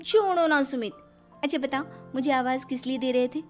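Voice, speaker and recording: A woman's voice: sits high, fairly quick, faint music behind, natural voice. A woman voicing a cheeky, swaggering young wife in a cartoon comedy, delivering her lines like movie dialogue. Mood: breezy. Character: swaggering young wife obsessed with action-film heroes. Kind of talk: Hindi cartoon comedy voice acting.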